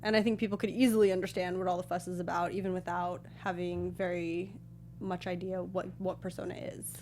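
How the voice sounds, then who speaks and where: A woman's voice: on the low side, little pitch movement, fairly quick, darkish tone, slight background noise. An American woman co-hosting a review show in casual, conversational discussion. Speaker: co-host of a film review show. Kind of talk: casual panel chat and review.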